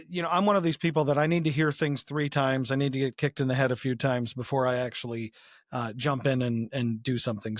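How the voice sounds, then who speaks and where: A man answering as a podcast guest, telling anecdotes casually and haltingly as he thinks aloud. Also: mid-range voice, dry recording, clean studio sound. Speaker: podcast guest, company chief executive.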